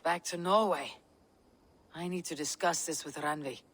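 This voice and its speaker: An actor playing a loyal, guarded Viking warrior in a video game, speaking in a measured, restrained way. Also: male voice, on the high side, fairly bright tone. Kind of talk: dramatic video game acting.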